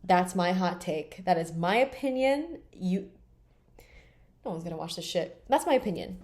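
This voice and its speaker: A woman's voice: fairly low pitch, animated, normal speed, darkish tone. A woman in her late twenties talking bluntly and emphatically in a candid personal vlog, swearing freely. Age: 20s. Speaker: vlogger.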